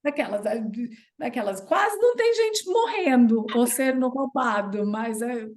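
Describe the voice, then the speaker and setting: A Brazilian woman in her thirties with a Brazilian Portuguese accent, a guest chatting casually and telling stories in an animated way. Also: measured pace, slight background noise. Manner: chatty and animated, playful jumps in pitch when retelling. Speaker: interview guest. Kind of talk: casual storytelling chat.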